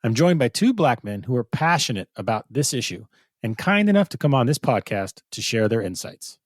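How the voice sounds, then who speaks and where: A man's voice: mid-range voice, big pitch swings, a little fast, no room sound, clean studio sound. Man hosting an upbeat podcast. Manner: upbeat, scripted intro then conversational questions. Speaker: podcast host.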